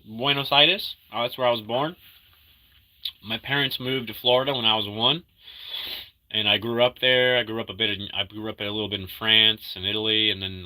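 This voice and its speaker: Man in his thirties, feeling sick, loose rambling stream chat with a very bright, sharp tone. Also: lowish voice, low-quality recording. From Argentina. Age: 30s. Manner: rambling, halting, full of fillers and restarts; upbeat when greeting viewers. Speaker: livestreamer reading chat. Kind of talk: casual live-stream chat.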